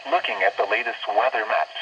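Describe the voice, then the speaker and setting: A synthetic male voice reads a scripted weather bulletin in a stiff, measured way, heard as a radio broadcast. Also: medium pitch, huge pitch swings, creaky, fairly bright tone, breathy edge, lo-fi sound. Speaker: automated weather radio announcer. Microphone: radio receiver speaker picked up by recorder. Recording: band-limited, noisy weather radio speaker.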